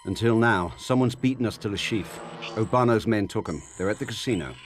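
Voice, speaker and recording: A man's voice: on the low side, brisk, a little hiss or hum, lightly acted. An actor playing a deferential, terse male intelligence aide reporting in a video game drama. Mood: tense, urgent.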